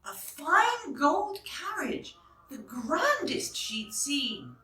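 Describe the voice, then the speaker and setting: A woman narrating a children's storybook expressively, phrasing clearly for young listeners, like a teacher reading aloud. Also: high voice, big pitch swings, drawn-out words, bright, forceful tone. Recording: roomy echo, distant microphone.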